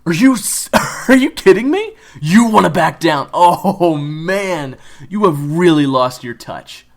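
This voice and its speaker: A man voicing a loud, jeering teenage school bully. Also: fairly high pitch, animated, unhurried, voice pushed up. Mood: mocking. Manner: jeering, loud, laughing scorn.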